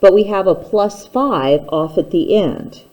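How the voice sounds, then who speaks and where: A woman gives an instructional lesson in a soft-edged, mellow voice. She speaks at an unhurried, slow pace at a medium pitch. She explains each step plainly and patiently, talking directly to the learner. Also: rough recording. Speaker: math teacher.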